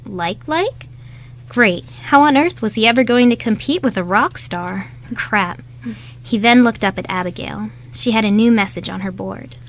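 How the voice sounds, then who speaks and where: A woman's voice: on the high side, a little hiss or hum. A woman reading scripted story narration as the narrator of a radio play.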